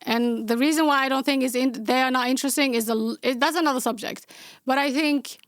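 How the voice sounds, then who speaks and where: A young adult woman from Somalia with a Somali accent, hosting a casual podcast Q&A and answering viewer questions in a blunt, outspoken way with sarcastic deadpan asides. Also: high voice, a little fast, clear, resonant tone, dry recording, studio quality. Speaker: podcast host.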